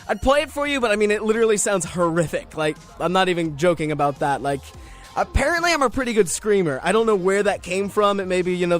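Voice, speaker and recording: A young male radio host with a distinctly high voice talks casually on his own. He rambles along in a jokey, self-mocking way, with music playing under him. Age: young adult. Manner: rambling, self-deprecating, jokey, often chuckling. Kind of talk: casual solo radio chat.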